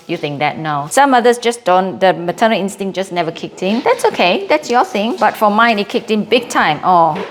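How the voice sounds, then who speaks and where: A Singaporean-accented woman in her late forties talks casually to her vlog audience. Her voice sits a little low for a woman, and she is candid and self-mocking. There is noticeable noise behind her. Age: late 40s. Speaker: vlogger. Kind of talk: casual, chatty personal vlog.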